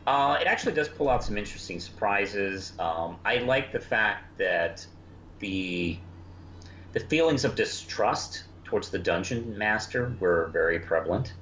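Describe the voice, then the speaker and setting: Male co-host, very low voice with lively swings, hesitant casual review chat, muffled recording. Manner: halting, hesitant, often restarting sentences. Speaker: podcast co-host reviewing cartoons. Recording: roomy, muffled, noisy home recording.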